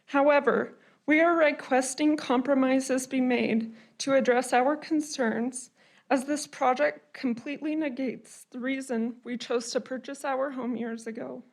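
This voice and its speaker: Earnest high-voiced woman reading a statement. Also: fairly even intonation. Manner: earnest, measured, formal. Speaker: resident giving public comment. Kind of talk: reading a prepared statement at a public hearing.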